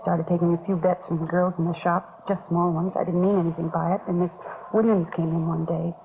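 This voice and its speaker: Anxious, fast-talking American woman, old radio. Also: on the low side, little pitch movement, gravelly. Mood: uneasy, confessing. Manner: anxious, confessional, pleading. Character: worried beauty shop owner paying off an extortionist. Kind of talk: 1950s radio drama acting. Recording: scratchy, phone-like 1950s radio recording, noisy.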